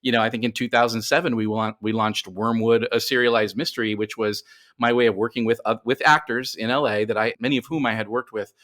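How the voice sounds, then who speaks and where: A man's voice: medium pitch, steady tone, quick pace, fairly bright tone. A middle-aged man speaking as a podcast guest, telling his story conversationally and often doubling back on himself as he explains.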